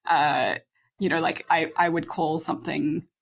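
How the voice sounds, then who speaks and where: Husky new zealand girl, lower voice, chatty, lots of ums and laughs. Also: fairly even intonation, measured pace. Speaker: guest, violinist and ensemble founder. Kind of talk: casual interview chat.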